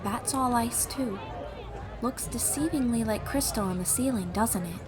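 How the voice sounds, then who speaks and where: A woman playing an elven ice sorceress, a gracious, formal and gently proud guide speaking unhurriedly to the listener in an audio roleplay. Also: fairly high pitch, moderate pace, crowd noise, lightly acted. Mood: proud, welcoming. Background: music.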